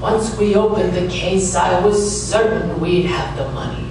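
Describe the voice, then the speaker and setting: A man's voice: sits high, unhurried, background noise. A man playing a wry, hardboiled private detective who narrates a comic noir radio play with sardonic wisecracks. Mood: wry narrating. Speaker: narrator and lead character. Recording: roomy, distant microphone, music behind.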